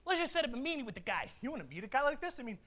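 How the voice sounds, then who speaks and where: A man playing a panicky film producer who talks fast and frantically. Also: extremely high pitch, big pitch swings, bright, forceful tone, exaggerated voice. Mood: frantic.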